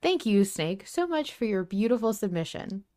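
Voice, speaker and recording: A woman's voice: medium pitch, sing-song, moderate pace, a little mellow. A woman around her thirties co-hosting a casual fan discussion podcast, speaking earnestly and emphatically in bursts. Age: 30s. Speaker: podcast co-host.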